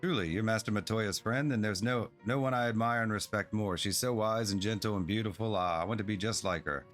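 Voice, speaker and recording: A man reading aloud as an earnest young girl priestess who wants to prove herself, in an even, sincere tone. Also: on the low side, brisk, faint music behind, natural voice. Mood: admiring. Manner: reads long dialogue aloud in an even, sincere tone.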